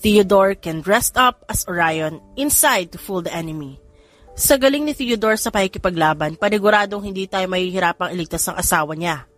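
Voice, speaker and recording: A woman's voice: medium pitch, moderate pace, close to an everyday voice. A woman voicing a composed, commanding mother in an audiobook drama, with music behind. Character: composed, commanding mother of skilled grown children. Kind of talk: audiobook character dialogue.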